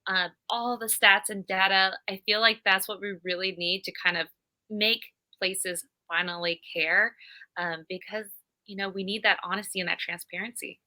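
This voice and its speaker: A woman's voice: medium pitch, fairly even intonation, moderate pace, sharp tone, poor audio. A woman from California, upbeat and fluent, telling her story as an interview guest over a video call. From California, USA. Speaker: guest, creative director. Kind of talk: conversational interview.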